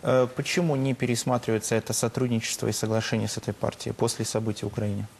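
Fast-talking young male TV interviewer. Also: mid-range voice, little pitch movement, vocal fry, darkish tone, slight background noise. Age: young adult. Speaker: TV host, interviewer. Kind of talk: political interview questioning. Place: TV studio.

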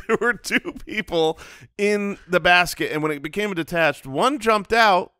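A man's voice: high-pitched, measured pace, bright, forceful tone, clear, resonant tone, dry recording. An American man co-hosting a comedy podcast, telling stories casually into a close studio microphone. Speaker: podcast co-host. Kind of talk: casual comedic storytelling and banter. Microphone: close studio microphone on boom arm.

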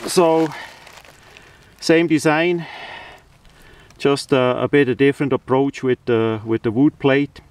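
Slow-talking man, non-native English speaker. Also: medium pitch, steady tone, a little hiss or hum. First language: not English (non-native speaker). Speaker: hobbyist video maker. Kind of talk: casual hands-on demonstration. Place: outdoors, beside a tarp on the ground.

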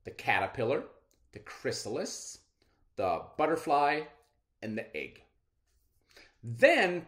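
A middle-aged man reading aloud and explaining simply to children as a schoolteacher, with deliberate enunciation. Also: mid-range voice, fairly bright tone. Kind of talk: children's read-aloud lesson.

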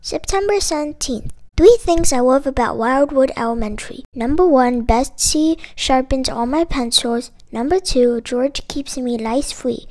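A woman reading a children's picture book aloud in an expressive, emphatic storytelling manner. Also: high voice, a little slow, few pauses. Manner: expressive, emphatic delivery with dramatic exclamations. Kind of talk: animated children's read-aloud.

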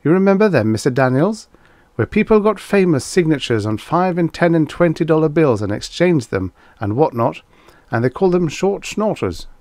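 A talkative hotel manager, acted out by a male narrator, earnest and explanatory in an audiobook comedy. The voice rises and falls in lively swings, recorded dry. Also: fairly high pitch, normal speed, no room sound, pitched above the performer's own voice.

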